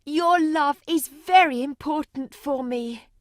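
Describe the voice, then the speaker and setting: A woman's voice: high-pitched, slow, no echo, clean studio sound. A woman playing a poor, weary, loving beggar mother in a storybook tale, emotional and pleading. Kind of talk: dramatised storybook reading.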